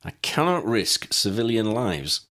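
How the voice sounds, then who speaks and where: A man's voice: lowish voice, big pitch swings, a little slow, bright, forceful tone, pitched above the performer's own voice, exaggerated voice. A man voicing a guarded, protective male wizard officer in an audiobook reading, speaking in a firm, clipped way. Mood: stern.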